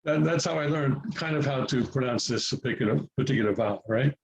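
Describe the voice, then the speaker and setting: A man's voice: mid-range voice, fairly quick, creaky, dull audio, slight background noise. A man whose first language is English, patiently teaching how to read Korean letters in a casual home tutorial, repeating himself and checking in often. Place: home near a highway, passing traffic.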